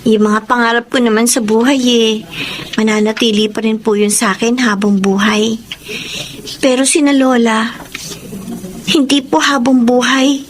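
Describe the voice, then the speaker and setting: A high, youthful Filipino voice from an actress plays a devoted granddaughter in a radio drama. She is deferential and earnest, with open emotion in her delivery. Some noise sits behind the voice. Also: lightly acted. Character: dutiful, devoted young granddaughter, earnest and respectful.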